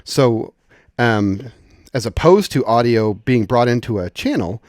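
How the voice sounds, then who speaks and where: A man presenting an informal software tutorial in a conversational, explanatory way, thinking aloud as he goes. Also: mid-range voice, animated, measured pace, no room sound, studio quality.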